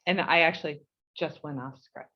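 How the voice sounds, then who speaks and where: A woman's voice: low-pitched, steady tone, dull audio. Heartfelt New woman reading a speech. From United States. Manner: measured and heartfelt, slowing for emphasis. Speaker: board chair. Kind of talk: reading a prepared speech at an online meeting.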